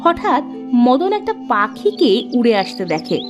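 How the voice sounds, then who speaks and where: High-voiced woman narrating a cartoon story. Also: sing-song, a little slow, dull audio, over music.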